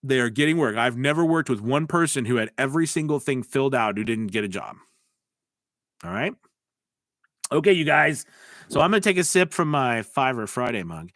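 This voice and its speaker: A man's voice: a little high, a bit bright, dry recording, studio quality. An American man hosting a live stream, giving voice-over business tips and answering chat questions in a loose, talkative way with many restarts. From United States.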